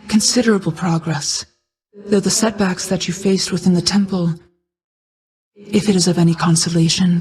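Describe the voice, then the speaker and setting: A woman voicing an ancient, stately goddess of night in a video game, a stern but caring mother who speaks in a formal, measured, regal way. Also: on the low side, darkish tone, close to an everyday voice, low-quality recording. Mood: measured encouragement.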